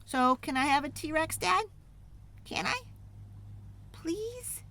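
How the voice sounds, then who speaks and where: A woman voicing an eager, pleading young child in an excitable, dramatic storybook read-aloud. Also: high-pitched, pitched above the performer's own voice, full character voice. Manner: wheedling, excitable, dramatic, drawn-out emphasis.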